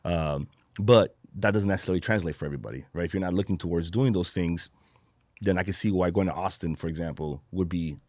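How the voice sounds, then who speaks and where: A man from Brazil with a low voice, talking at a quick clip on a podcast. He explains things informally in long runs, like a comedian chatting with colleagues. The sound is dry and close. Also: darkish tone, no room sound. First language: Portuguese. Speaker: podcast host and stand-up comedian. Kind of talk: casual podcast chat.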